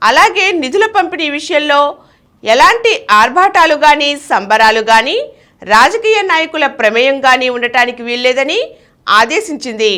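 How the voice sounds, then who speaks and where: A woman's voice: high-pitched, animated, normal speed, bright, forceful tone. A woman presenting scripted news headlines and reports as a newsreader.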